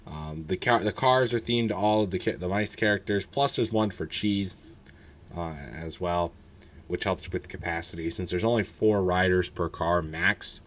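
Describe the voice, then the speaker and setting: A young man with a deep, low voice that is hoarse. He talks in a loose, rambling way, full of ums and restarts, and gives blunt, candid opinions in a casual solo review. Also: moderate pace, a bit bright. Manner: rambling, filler-heavy, candid. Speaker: roller coaster reviewer.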